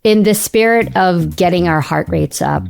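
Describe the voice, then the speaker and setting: A woman's voice: lowish voice, normal speed, gravelly, darkish tone. A woman in her thirties from the Philippines hosting a casual interview. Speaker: podcast host. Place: small home studio room, indoors. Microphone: close studio mic on boom arm.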